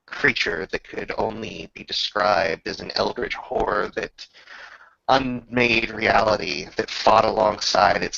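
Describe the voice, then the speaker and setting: A man acting as game master, telling a tabletop roleplaying story in a measured, grandiose manner with drawn-out pauses. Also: lowish voice, gravelly, bright, forceful tone. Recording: slightly dull, roomy home recording.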